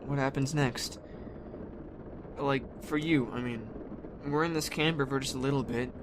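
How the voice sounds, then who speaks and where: Guy playing a headstrong teen boy survivor, blunt but earnest, halting casual talk, loud rumbly vehicle noise behind. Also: close to an everyday voice. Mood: worried, determined. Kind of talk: naturalistic amateur audio drama acting. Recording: clear vehicle-like background noise.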